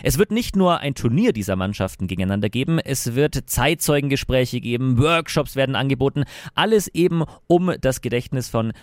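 A man presenting club news to fans, steady and informative, talking directly to the listeners. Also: mid-range voice, a little fast, dry recording, clean studio sound.

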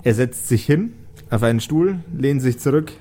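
A man narrating an improvised tabletop game as game master, feeling his way haltingly through the story. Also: mid-range voice, animated, warm tone. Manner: hesitant, halting.

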